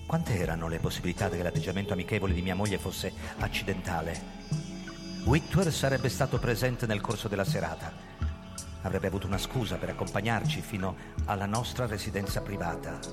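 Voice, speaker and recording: An actor playing a paranoid, bitter middle-aged police chief in an intense, sarcastic radio drama style. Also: male voice, deep voice, fairly quick, natural voice. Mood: brooding. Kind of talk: theatrical radio drama acting with first-person narration. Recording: reverberant hall, music playing behind.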